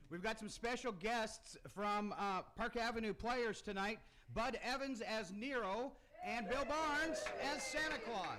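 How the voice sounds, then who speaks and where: A male radio host presents a live theater broadcast. His voice sits high for a man, and clear background noise runs through the studio recording. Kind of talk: live event hosting and rhymed radio play narration. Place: radio studio performance room.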